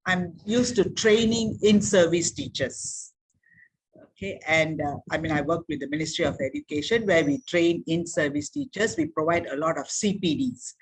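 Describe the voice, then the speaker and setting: Brief: woman from Malaysia, teacher trainer, husky, halting, video call. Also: on the low side, rough recording. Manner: hesitant, many fillers. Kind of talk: online meeting self-introduction.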